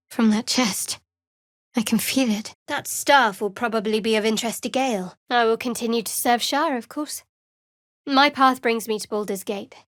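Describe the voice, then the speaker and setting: A young female cleric of a dark goddess for a fantasy video game, speaks in a fairly high voice. She is guarded and sardonic, measuring her words with wry restraint. The sound is dry and close, with no room around it. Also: no echo. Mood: cool, wry.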